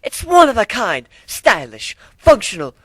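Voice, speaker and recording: Man as a high, gushing fashionista unicorn lady. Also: wildly swooping pitch, a little slow, fairly bright tone, breathy edge, dry recording, full character voice, poor audio. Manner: theatrical, gushing.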